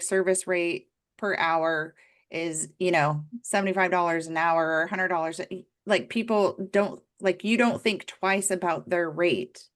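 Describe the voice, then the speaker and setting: A woman coach talks earnestly about faith and pricing, thinking out loud and using many fillers. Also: lowish voice. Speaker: business coach. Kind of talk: faith-based business coaching talk.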